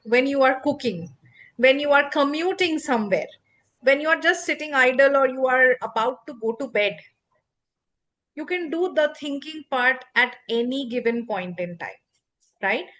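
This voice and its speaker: A woman whose first language is not English, hosting a podcast and explaining English fluency in an expressive, emphatic teacherly way. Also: high voice, moderate pace. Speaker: podcast host and English coach. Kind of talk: instructive podcast conversation.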